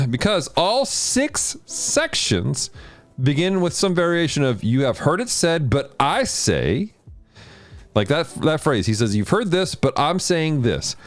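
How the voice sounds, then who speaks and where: Lively man hosting a gaming stream. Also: takes it slowly, faint music behind. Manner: animated, jumps in pitch when greeting chat. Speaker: host of a gaming and Bible study stream. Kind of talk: casual livestream chat and Bible teaching.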